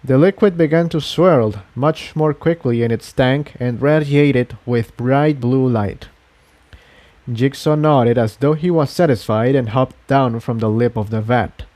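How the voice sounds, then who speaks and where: A man's voice: medium pitch, measured pace, darkish tone. A man reading fan fiction aloud as an amateur narrator, in scripted storytelling style.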